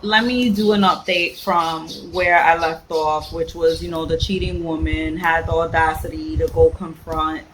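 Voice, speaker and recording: A woman's voice: low voice, fairly even intonation, unhurried, hardly any pauses, a bit bright. A blunt, sassy woman ranting in a casual reading vlog, repeating phrases for emphasis. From the Bronx, New York. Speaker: book vlogger. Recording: noisy home vlog recording.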